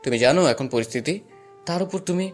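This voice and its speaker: A man's voice: a little high, lively intonation, brisk, close to an everyday voice. Man acting a bold young rescuer, music behind. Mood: urgent. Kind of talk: Bengali audio story acting.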